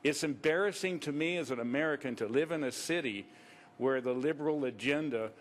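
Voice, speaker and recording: A man's voice: medium pitch, a little fast. A man, a preacher, making an extemporaneous political comment at a public meeting in an emphatic, disapproving manner.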